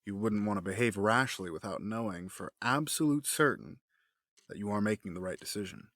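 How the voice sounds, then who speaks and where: A man playing a smooth, scheming middle-aged gentleman with a fatherly front, speaking in measured, formal phrases in an audio drama. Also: fairly low pitch, dry recording, studio quality, close to an everyday voice.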